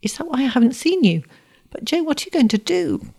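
A high, pleading teenage flower girl from a storybook, acted by a woman reader. She is poor and devoted, with anxious, emotional delivery. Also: very animated, brisk, vocal fry, a little mellow, exaggerated voice. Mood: anxious surprise.

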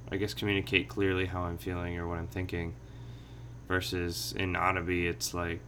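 Low, bright-toned man musing aloud. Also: a little hiss or hum. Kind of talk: casual podcast chat.

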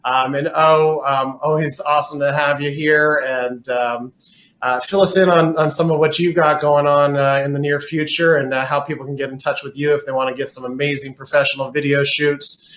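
A man's voice: on the high side, level tone, moderate pace, a bit bright, echoey room. A man hosting an interview, chatting casually and encouraging his guest. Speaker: interview host.

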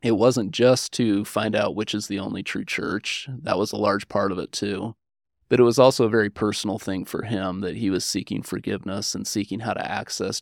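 Guy historian chatting in an interview, lowish creaky voice, really dry close sound. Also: no echo. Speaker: historian guest. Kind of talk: conversational explanatory interview.

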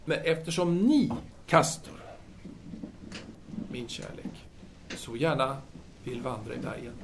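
A man playing a brooding, talkative 1930s Parisian philosopher in an audio drama, musing haltingly and formally. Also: mid-range voice, lively intonation, background noise, close to an everyday voice.

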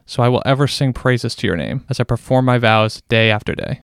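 A man's voice: medium pitch, fairly quick. A man reading a psalm aloud as a scripture reader, in a solemn devotional style.

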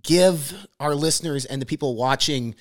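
A man's voice: a little high, normal speed. A man hosting a podcast, talking loosely and casually and swearing now and then. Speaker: podcast host.

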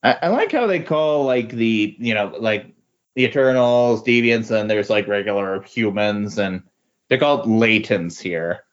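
A man chatting casually on a comics podcast in a halting, tentative way, often saying "like" as he pieces thoughts together. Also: lowish voice, expressive. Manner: halting, tentative, peppers speech with "like". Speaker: podcast co-host discussing comics. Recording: dull lo-fi voice recording.

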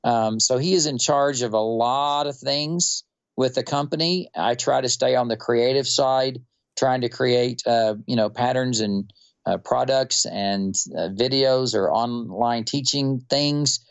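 A man from North Texas with a Texan accent, telling stories in a relaxed, unhurried interview with many fillers. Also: medium pitch, smooth, dull audio. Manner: unhurried, rambling storyteller with fillers. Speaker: quilter and musician guest.

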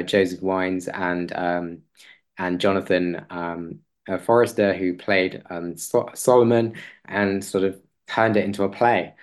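A man speaking as an interview guest in a conversational way, hesitant and halting, often rephrasing as he goes. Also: low-pitched.

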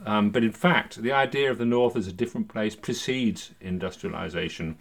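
A man with a fairly low voice hosts a discussion. He explains things in an erudite, conversational manner, moving between his own commentary and quoted passages. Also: a little fast, creaky. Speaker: podcast host.

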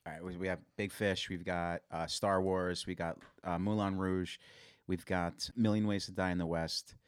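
Man, co-host, low voice, dry sound, casual movie talk, hesitant name searching. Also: little pitch movement, moderate pace, smooth, dry recording. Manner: searches aloud for names, lots of fillers. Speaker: podcast co-host.

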